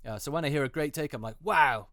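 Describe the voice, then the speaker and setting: A man's voice: a little high, very fast, smooth, no room sound, studio quality. A man giving unscripted casual commentary as host, hesitant and often repeating himself for emphasis. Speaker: host, voice actor reviewing auditions.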